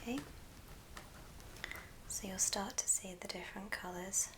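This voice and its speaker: A woman whispers an unhurried, step-by-step craft tutorial in a breathy ASMR style, as the host demonstrating it. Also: mid-range voice, fairly even intonation. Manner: unhurried, murmured step-by-step narration. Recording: hissy background noise with small clicks.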